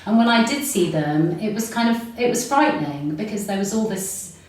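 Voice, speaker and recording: A woman's voice: medium pitch, expressive, normal speed, darkish tone, recorded from a distance. A woman in her forties with a London accent, a podcast guest giving reflective, flowing answers full of filler words. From London, England. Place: room recorded in person. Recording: very echoey room, set back from mic, faint noise.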